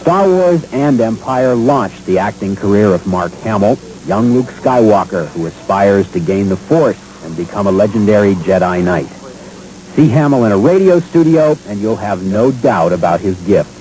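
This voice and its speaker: A man narrating a scripted feature as a reporter, polished and measured. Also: fairly low pitch, animated, dark tone, slight background noise, rough recording.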